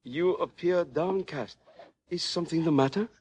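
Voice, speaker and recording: An actor playing a polite, serene, shrewd Chinese merchant in a radio western, speaking formal, deliberate broken English with a Chinese accent. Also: male voice, a little high, darkish tone, slightly rough. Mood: courteous, innocent. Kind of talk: old-time radio western acting. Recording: muffled old radio recording.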